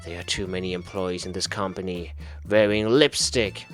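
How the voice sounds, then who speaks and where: A man's voice: deep voice, fairly even intonation, moderate pace, soft background music. A man reading a visual novel aloud in a theatrical, mock-serious way as a gaming host. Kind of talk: dramatic read-aloud narration of a visual novel.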